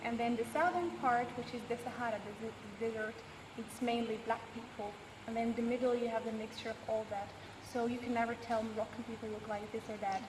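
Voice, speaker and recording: A young woman in her twenties, a student, answering interview questions in a relaxed way with frequent pauses. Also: fairly high pitch, distant mic. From United States. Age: 20s. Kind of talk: casual explanatory interview answers. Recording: echoey hall from afar, background noise.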